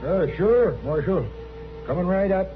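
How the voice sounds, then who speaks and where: An actor playing a folksy, eager, simple marshal's helper with a rustic, rambling rural American way of speaking. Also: male voice, high-pitched, animated, a little slow. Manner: rustic, rambling, colloquial drawl. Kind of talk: 1950s Western radio drama acting. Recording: muffled vintage radio recording with music.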